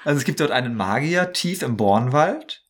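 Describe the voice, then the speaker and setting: A man's voice: mid-range voice, lively intonation, normal speed. A man chatting casually as a podcast guest, explaining things with enthusiasm. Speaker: podcast guest, audio drama maker and actor. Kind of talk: casual interview chat.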